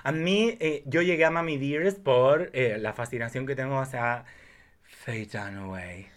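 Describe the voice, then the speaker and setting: A man's voice: medium pitch, a little slow. A man chatting casually and effusively about films as a podcast guest, with a campy way of talking. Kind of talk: casual film chat.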